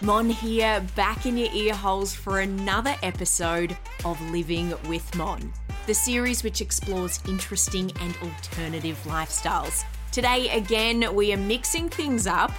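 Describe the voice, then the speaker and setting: A woman's voice: mid-range voice, normal speed, music in the background. A woman with an Australian accent, chatting as the host of an interview podcast.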